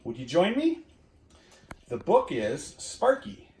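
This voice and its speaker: A man reads a picture book aloud to children at an unhurried pace. His delivery swings widely in pitch, with expressive stretched emphasis. The room adds audible echo. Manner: expressive, drawn-out emphasis, addressing listeners and dog. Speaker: community education director reading to children. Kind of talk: animated children's storybook read-aloud. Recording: roomy echo.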